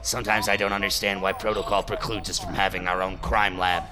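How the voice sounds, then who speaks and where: A man with an American accent playing a gruff, cynical police detective in an audio drama, with a blunt, sardonic, needling way of talking. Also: sits low, level tone, a little fast, lightly acted. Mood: grumbling, cynical. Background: loud music.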